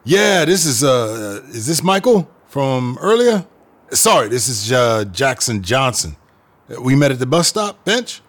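An actor playing an anxious, slangy DJ under pressure, speaking hesitantly in an audio drama. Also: male voice, medium pitch, sing-song, unhurried, a little hiss or hum. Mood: weary, uneasy. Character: anxious, foul-mouthed male DJ being blackmailed.

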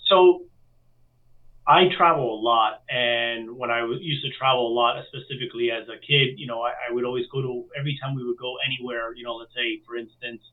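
A man from Miami talks as an interview guest, relating his story in a casual, chatty way. His voice is mid-pitched and comes through thin and phone-like, sitting some distance from the microphone. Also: steady tone, a little fast. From Miami, United States. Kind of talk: casual chat, telling own story.

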